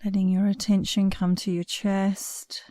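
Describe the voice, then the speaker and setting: A woman meditation instructor guiding a relaxation session in a soothing, unhurried way, leaving long pauses between short phrases. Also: mid-range voice, level tone, warm tone, no echo, clean studio sound. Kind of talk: guided meditation, scripted narration.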